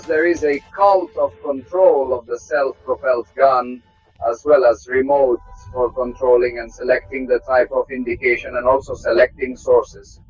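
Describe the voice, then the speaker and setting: A middle-aged man, a test pilot from Russia, giving a measured, technical tour of an aircraft cockpit. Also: mid-range voice, a little mellow, slightly rough. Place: aircraft cockpit inside a hangar. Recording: slightly dull sound, faint music behind.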